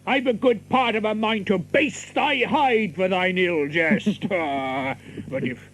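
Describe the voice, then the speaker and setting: An actor playing a boastful, hot-tempered stout tinker in an audio drama, blustering in archaic English with a gravelly, husky voice. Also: male voice, high-pitched, fairly bright tone, slight background noise. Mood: indignant. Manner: blustering, exclamatory, chuckling, drawn-out delivery.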